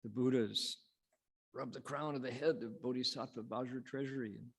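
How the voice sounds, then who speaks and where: A man reciting and teaching Buddhist scripture as a monk, in a measured, dramatic storytelling manner with pauses. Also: mid-range voice, steady tone, gravelly, husky. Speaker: Buddhist monk, sutra lecturer. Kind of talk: sutra recitation and dharma lecture.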